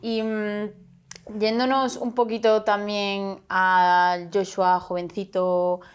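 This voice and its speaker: A woman interviewing a guest in a casual chat, curious and encouraging, with quick follow-up questions. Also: mid-range voice, little pitch movement, clear, resonant tone.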